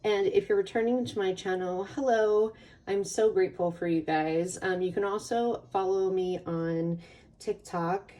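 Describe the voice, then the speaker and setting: A relaxed, mid-pitched woman talks through a card reading in a casual, playful, informal way. Her voice has a slight rasp from a lingering cold. It is picked up a little way from the microphone. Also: a little slow, far from the mic. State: getting over a cold or allergies. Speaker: tarot reader hosting a pick a card channel.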